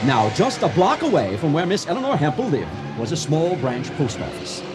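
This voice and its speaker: An actor playing an earnest storyteller, narrating a radio drama in a dramatic, mock-serious manner. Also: male voice, on the high side, huge pitch swings, moderate pace, over music. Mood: mock-solemn.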